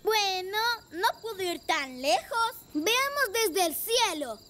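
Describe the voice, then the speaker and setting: A small boy motorcycle from a kids' cartoon, with a very high, childlike voice. He is a brave, eager leader who talks heroically and gives orders to his friends over music. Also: lively intonation, takes it slowly, heavily acted. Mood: determined. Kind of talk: animated children's cartoon dub. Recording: music behind the voice.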